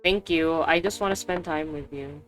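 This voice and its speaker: A woman's voice: sits low, normal speed, fairly bright tone, faint music behind. A woman streamer giving casual gameplay reactions in short, playful, slangy remarks.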